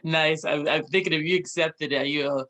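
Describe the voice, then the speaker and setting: A man's voice: on the high side, fairly even intonation, moderate pace, sharp tone. A man hosting an interview, chatty and informal, with many ums and "right?" tag questions. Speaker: host. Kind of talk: friendly interview introduction.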